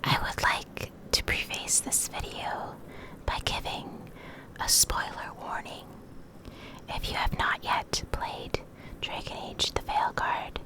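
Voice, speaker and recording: A woman reading in a soft, breathy whisper for ASMR, gentle and measured, close to the microphone. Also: poor audio. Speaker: ASMR creator, video host and reader. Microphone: close USB condenser microphone.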